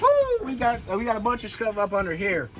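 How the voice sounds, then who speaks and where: The American guy with the high voice in a homemade car-project video, chatting casually to viewers with music playing clearly behind him. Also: fast, fairly bright tone. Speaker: vlog host.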